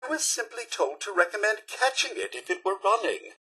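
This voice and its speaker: Game robot butler: British, prim and fussy, raspy edge, very animated, exasperated but devoted. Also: male voice, high voice, fairly quick, heavily acted. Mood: polite, chipper. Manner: formal, theatrical, deferential, prim. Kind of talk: video game character voice acting.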